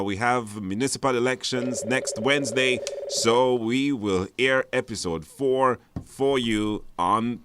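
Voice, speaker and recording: A man's voice: mid-range voice, noisy background. A man hosts a live radio phone-in in an informal, chatty way. He often repeats a phrase before moving on, with a mid-range voice. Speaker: radio show host. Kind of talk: live radio hosting, taking phone-in calls. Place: radio studio.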